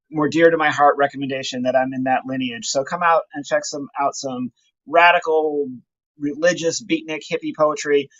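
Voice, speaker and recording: A man's voice: on the high side, a bit bright, no echo. A man speaking casually and effusively as he gives a walking video tour and promotes his poetry, recorded on a handheld camera. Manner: enthusiastic, effusive. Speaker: poet promoting his book. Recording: dull lo-fi handheld camera audio.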